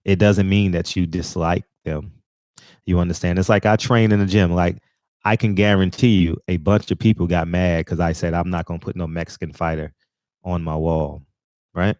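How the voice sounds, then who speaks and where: A man in his forties hosts his own commentary channel, talking off the cuff. His voice sits very low, and the sound is close and dry with no room around it. He rambles, restarting sentences and leaning on fillers. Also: fairly even intonation, normal speed, a little mellow, no room sound. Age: 40s. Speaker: channel host. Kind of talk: casual commentary monologue.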